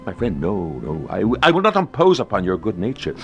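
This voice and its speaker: A man voicing a sly aristocrat who flatters with unctuous, mock concern in a gothic story reading. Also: lowish voice, brisk, faint music behind, heavily acted. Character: sly, falsely solicitous aristocratic male avenger, flattering his prey.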